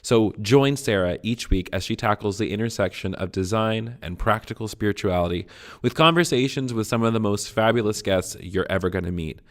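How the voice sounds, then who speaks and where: A man's voice: lowish voice, fairly quick. A man reading a scripted podcast intro in an upbeat, friendly way. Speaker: show announcer.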